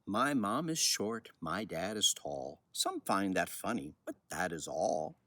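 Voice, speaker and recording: Older male storyteller, husky tone, hugely varied pitch, expressive and unhurried delivery. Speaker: children's book author reading aloud. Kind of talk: personal commentary and storybook reading.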